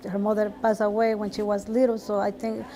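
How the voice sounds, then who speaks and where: A woman from El Salvador, speaking calmly and matter-of-factly in a documentary interview. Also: mid-range voice, fast talker. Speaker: interviewee, cousin.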